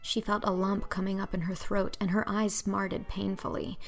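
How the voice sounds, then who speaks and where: A woman's voice: medium pitch, little pitch movement, normal speed, creaky. A woman narrator reading a scripted storybook audiobook.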